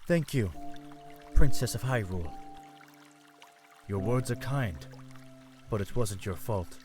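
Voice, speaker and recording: A man's voice: on the low side, animated, takes it slowly. A man voicing a young, earnest fish-folk prince in an audio drama, speaking formally. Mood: guilty, grieving. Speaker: voice actor. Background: music.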